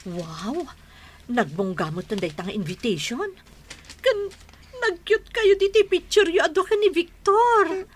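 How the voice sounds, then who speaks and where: A woman's voice: extremely high pitch, animated, a little slow. A woman acting in an Ilocano radio drama as a chatty, boastful friend, exclaiming expressively with an Ilocano Filipino accent. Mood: excited, admiring.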